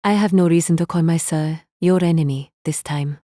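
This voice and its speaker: A woman's voice: low-pitched, moderate pace, warm tone, no room sound, studio quality, voice pushed down, lightly acted. A woman voicing a cool, self-assured female mage, musing and sardonic in a nonchalant way.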